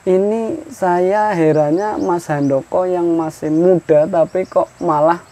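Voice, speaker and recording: A man's voice: high-pitched, steady tone, slow, warm tone. A man, hosting a casual farm interview in a greenhouse, chatty and full of leading questions. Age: 30s. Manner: chatty, friendly, asks many leading questions. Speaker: podcast host, agricultural extension worker. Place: outdoor greenhouse on a hillside.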